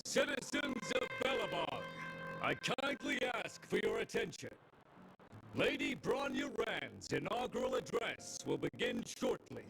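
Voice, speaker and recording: A man's voice: mid-range voice, huge pitch swings, measured pace, gravelly, full character voice, rough recording. A man playing a commanding guard who makes formal, projected announcements to a crowd in a fantasy game. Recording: game audio with loud music underneath.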